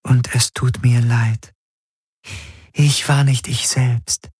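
A man voicing a sorrowful, fading female spirit who speaks softly and half in whispers to her master. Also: mid-range voice, level tone, slow pace, breathy edge, no echo, clean studio sound, pitched above the performer's own voice, exaggerated voice. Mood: sad. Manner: soft, pleading, partly whispered.

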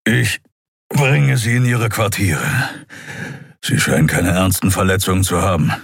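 A man playing a gruff, lion-headed male warrior who answers in terse, growling lines. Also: low voice, unhurried, no room sound, studio quality, exaggerated voice. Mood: grim.

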